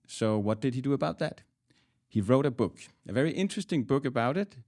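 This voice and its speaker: Man hosting, medium-pitched voice. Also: animated, a little fast, a little mellow. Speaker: podcast host, interviewer. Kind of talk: podcast introduction and interviewing.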